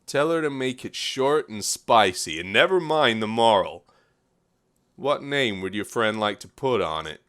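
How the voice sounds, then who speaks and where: A man's voice: on the low side, expressive, a little slow, a bit bright, smooth. An actor playing a worldly, businesslike male newspaper editor in a period audio drama, offhand and dismissive.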